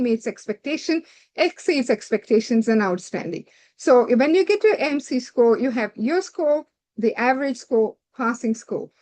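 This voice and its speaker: A woman's voice: fairly high pitch, a little mellow. A woman giving a direct, instructive lecture to doctors preparing for a medical licensing exam, stringing her points together with "so".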